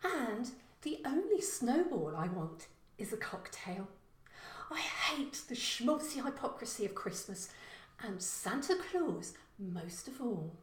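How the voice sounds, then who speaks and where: A woman's voice: on the high side, sing-song, takes it slowly, reverberant, voice pushed up. A woman voicing an aggressive, sneering young female neighbour in a story, snappish and menacing. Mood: sneering, hateful.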